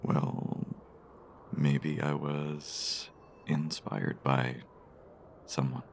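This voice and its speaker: Romantic radio play lead: male actor playing a smitten, hesitant man, very low and husky, tender delivery. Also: level tone, exaggerated voice. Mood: shy, tender. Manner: halting, hesitant, soft-spoken. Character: shy, smitten male stranger, tender and complimentary. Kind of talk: intimate audio romance acting.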